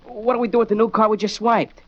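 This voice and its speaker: A man's voice: high voice, little pitch movement, fast talker, close to an everyday voice. Man as a streetwise boy gang spokesman, muffled radio. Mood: eager, respectful. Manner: streetwise, slangy. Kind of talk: 1940s radio crime drama acting. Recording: muffled, noisy 1940s radio recording.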